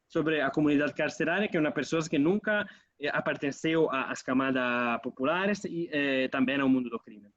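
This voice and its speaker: Man, interview guest, halting, dull dry recording. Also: fairly high pitch, no room sound. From Italy. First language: Italian. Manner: hesitant, many fillers and restarts. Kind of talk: radio interview.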